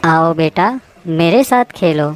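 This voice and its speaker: Kindly old-tree character for a kids story voiced by a lady, lowish, soft-hearted, loving, music in back. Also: expressive, slow, clear, resonant tone. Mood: affectionate, inviting. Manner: gentle, apologetic, affectionate. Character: kindly, self-sacrificing mango tree, motherly. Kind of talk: children's cartoon story acting.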